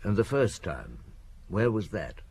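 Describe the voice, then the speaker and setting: Deep, husky police chief. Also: male voice, very animated, measured pace, a little mellow, heavily acted. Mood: terse, probing. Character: unhurried, shrewd police chief, terse and probing. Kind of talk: audio drama acting.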